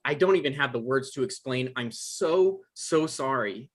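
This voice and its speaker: A man's voice: medium pitch, expressive, close to an everyday voice. A man playing an anxious, apologetic male writer who gets defensive and stammers, in a screenplay table read. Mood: flustered, pleading. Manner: halting, stammering.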